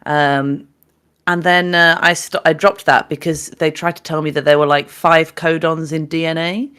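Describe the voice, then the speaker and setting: Young woman, from England, loud and excitable, casual podcast co-host, a bit off-mic. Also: deep voice, moderate pace, clean tone. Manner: loud, excitable, with frequent laughs.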